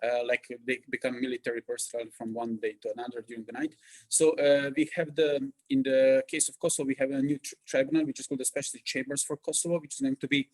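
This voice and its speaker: Guy asking a long question at a lecture, middle pitch, lots of uh and um. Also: darkish tone, low-quality recording. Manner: halting, with many fillers. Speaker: audience member asking a question.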